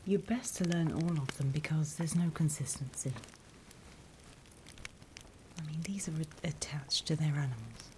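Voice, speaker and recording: A British woman voicing a sly, wryly menacing demon instructor in a hushed, conspiratorial ASMR roleplay whisper, close to the microphone. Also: low-pitched, slight background noise, lightly acted. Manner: measured, hushed, dryly conspiratorial.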